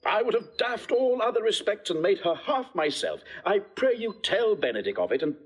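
Theatrical, husky, high-voiced scheming prince. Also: male voice, big pitch swings, normal speed, exaggerated voice. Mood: playfully scheming. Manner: theatrical, mock-earnest, courtly. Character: genial scheming prince, staging talk to be overheard. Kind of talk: classical Shakespearean stage acting.

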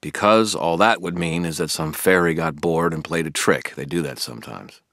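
A man's voice: low-pitched, moderate pace, creaky, a bit bright, no echo, studio quality, voice pushed up. A man voicing a weary, short-tempered wizard. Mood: irritated. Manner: curt, grumbling.

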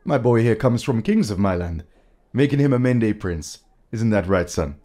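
A man's voice: on the low side, very animated, normal speed, dark tone. A man playing a proud, formal young father. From Sierra Leone. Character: proud Sierra Leonean dock worker and father, young adult man. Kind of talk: audio drama acting.

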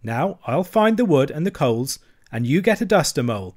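A man's voice: a little high, big pitch swings, darkish tone. Man voicing a cheery bustling water rat. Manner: brisk, hearty, encouraging.

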